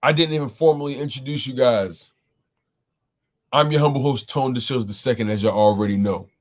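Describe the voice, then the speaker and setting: A man's voice: mid-range voice, fairly quick. A man hosting a casual live sports call-in stream, his voice a little scratchy as he keeps greeting viewers and repeating himself. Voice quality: slightly scratchy voice. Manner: repetitive, exclaiming, greets chat viewers. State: throat a bit rough. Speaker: host of a sports call-in show.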